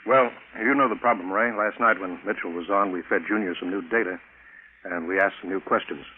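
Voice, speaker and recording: Male actor as space program chief, old radio sci-fi. Lowish, measured, businesslike, on tinny broadcast sound. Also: a little hiss or hum, close to an everyday voice. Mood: earnest, explaining. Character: matter-of-fact male chief of a space project. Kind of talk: 1950s radio acting.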